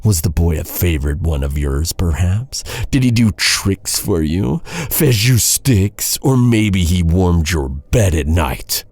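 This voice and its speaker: A man playing a bitter, vengeful knight in a dark fantasy audio roleplay, brooding and taunting in a husky, gravelly voice. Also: low voice, expressive, takes it slowly, hardly any pauses, no echo, heavily acted. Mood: mocking, taunting. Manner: taunting, brooding, dramatic with heavy sighs. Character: bitter vengeful male knight guarding a captive royal. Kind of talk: immersive dark fantasy ASMR roleplay acting.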